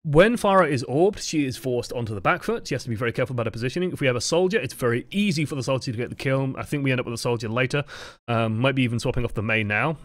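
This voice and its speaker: Casual young male coach, mid-pitch voice, unhurried, chatty, a bit sweary. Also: expressive, no room sound. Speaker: game coach reviewing footage. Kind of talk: casual gameplay commentary.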